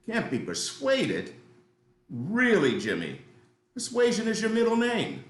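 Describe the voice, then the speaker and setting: A man reading a controlling, calculating businessman father in his fifties, deliberate and insinuating, in a script read. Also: sits high, wildly swooping pitch, heavily acted. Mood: cool, menacing. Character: controlling, calculating fifty-ish businessman father, crime boss. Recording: echoey room.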